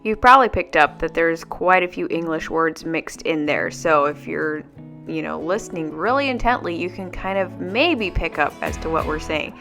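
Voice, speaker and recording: A woman in her thirties whose first language is Pennsylvania Dutch talks through a casual home vlog voiceover. Her voice sits a little low, and her manner is chatty and friendly with soft laughs. Clear music plays underneath her. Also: moderate pace. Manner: chatty, friendly, often laughs softly, mixes dialect and English. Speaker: vlogger, mother. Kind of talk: casual voiceover over cleaning footage.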